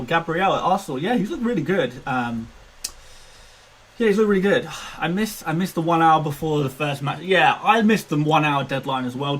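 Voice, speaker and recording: A man's voice: a little high, fairly bright tone, breathy edge, poor audio. A man in his twenties chatting casually on a live stream, self-mocking and laughing often. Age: 20s. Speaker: fantasy football streamer.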